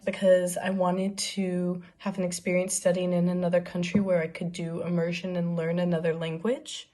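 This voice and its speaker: A woman of twenty from the United States with an American accent, an exchange student answering interview questions in a relaxed, thoughtful way. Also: lowish voice, moderate pace. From United States (Oregon). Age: 20s.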